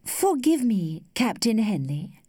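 A woman voicing a worldly, flirtatious lady who teases with cool indulgence in a measured, studied way, as in a storybook. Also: medium pitch, sing-song, a little mellow, no echo, clean studio sound, voice pushed up. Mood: lightly mocking. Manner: studied, measured, archly playful.

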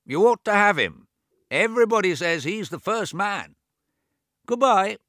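A man's voice: high voice, big pitch swings, a little slow, fairly bright tone, no room sound, studio quality, pitched above the performer's own voice, full character voice. Man doing a fussy, deaf old miser. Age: 80s. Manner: fussy, repetitive, prattling. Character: hard-of-hearing elderly man, money-minded and fussy.

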